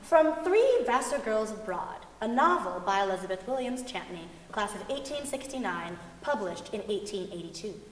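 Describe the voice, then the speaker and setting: A woman's voice: medium pitch, very animated, normal speed, few pauses. A woman giving scripted narration, announcing dates and events on the stage of a large hall. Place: large concert hall stage before an audience. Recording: echoey concert hall with background noise.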